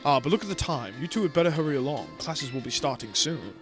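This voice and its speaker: A man's voice: a little high, animated, quick pace, faint music behind, lightly acted. A man reading aloud the lines of a formal, polite male school director from a video game, in a stiff read-aloud manner.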